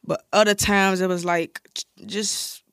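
A man's voice: high voice, little pitch movement, measured pace, fairly bright tone, smooth, no echo, clean studio sound. A man with a Chicago accent, a guest in a casual interview, telling stories in loose, candid slang with plenty of swearing. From Chicago, United States. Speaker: interview guest, music artist. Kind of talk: casual storytelling chat.

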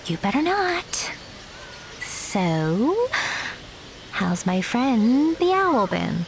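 Woman acting blunt, animated young woman, high-pitched. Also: very slow, background noise, exaggerated voice. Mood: stern, fond, then wry, warming. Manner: blunt, guarded, wry. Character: blunt, guarded, soft-hearted young woman. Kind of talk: naturalistic audio drama dialogue.

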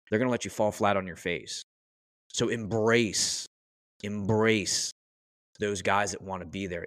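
A man's voice: lowish voice, a bit bright, no room sound. A man giving opinionated, casual podcast talk as a guest, in a blunt, emphatic and colloquial way.

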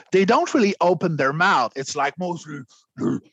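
A man, a guest vocalist, explaining extreme singing in a conversational interview, clearing his throat often between demonstrations. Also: fairly high pitch, sing-song, takes it slowly, a bit bright, dry recording, muffled recording.

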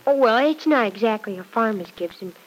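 A woman's voice: on the high side, expressive, a little fast, a little hiss or hum, close to an everyday voice, low-quality recording. A woman playing a cheerful, devoted young farm girl working as a housemaid in a classic radio drama, earnest and artless with folksy exclamations. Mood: wistful, earnest.

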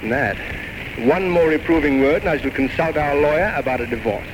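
A man's voice: fairly high pitch, fairly quick, close to an everyday voice. A man playing an eloquent, charming but desperate drinker in an old-time radio drama, with florid, mock-grand, poetic delivery. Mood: defensive, wry. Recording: noisy vintage radio recording with music.

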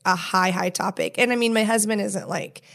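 A woman's voice: mid-range voice, fairly quick, gravelly, fairly bright tone. A woman talking casually and candidly in an interview, frank and swearing freely. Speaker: podcast host appearing as guest.

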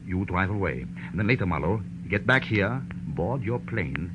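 A man's voice: sits low, expressive, normal speed. An actor playing a round, nervous, fussy male dealer with a flustered, insistent manner, in 1940s radio drama style. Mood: tense, instructing. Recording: noisy, dull vintage radio recording.

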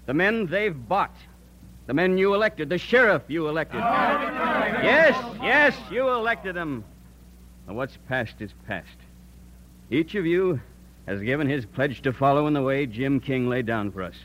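An actor playing a stern, righteous vigilante commander who narrates and orates solemnly in an old radio drama. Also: male voice, on the high side, big pitch swings, unhurried, fairly bright tone. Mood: rousing. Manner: declamatory, solemn. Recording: noisy old radio recording.

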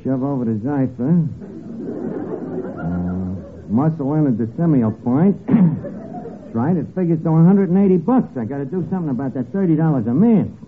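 An actor playing a scheming, penny-pinching tavern manager with a New York accent and rough, slangy diction, in a 1940s radio comedy. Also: male voice, lively intonation, moderate pace, phone-quality sound, soft background music, some chatter behind, natural voice. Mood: calculating, muttering. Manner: wheedling, malapropism-prone, hesitating before each pitch. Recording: thin, noisy old radio broadcast recording.

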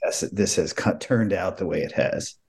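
A man's voice: deep voice, moderate pace, gravelly, darkish tone. A man hosting an interview, asking long, tentative questions in a conversational way. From United States. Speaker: interview host.

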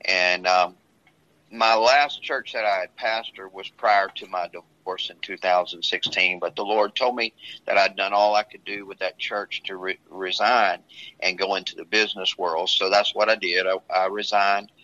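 A man who is a pastor, talking earnestly and at a measured pace about his own story, often restarting his sentences. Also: low voice, fairly even intonation, pushed and bright. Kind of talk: casual talk sharing a testimony. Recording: dull lo-fi sound.

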